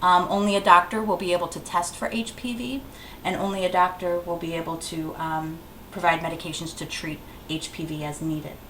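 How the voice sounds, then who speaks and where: A woman's voice: on the low side, fairly even intonation, brisk, fairly bright tone. A woman giving an informal, plainly instructive health presentation as a clinic educator, repeating key advice. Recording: roomy echo, faint background noise.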